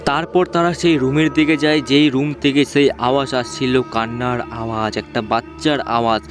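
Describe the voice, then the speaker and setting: A man's voice: mid-range voice, steady tone, moderate pace. A man narrating a ghost story for a cartoon in simple, informal, repetitive spoken language. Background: background music.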